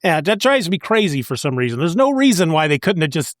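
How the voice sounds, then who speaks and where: A man co-hosting a podcast, discussing comic books casually with an expressive, wry delivery. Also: a little high, a little fast, no echo, clean studio sound. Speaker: podcast co-host.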